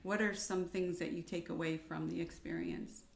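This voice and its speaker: A woman hosting a conversational interview, speaking in a measured, appreciative way. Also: on the low side, fairly even intonation, gravelly. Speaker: interviewer, volunteer project founder. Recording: roomy echo.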